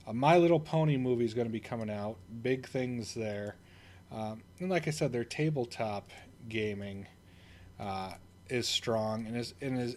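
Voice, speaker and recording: A man who hosts an investing channel, talking loosely through a stock he owns, with plenty of fillers and restarts. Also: mid-range voice. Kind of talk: casual stock commentary over screen recording.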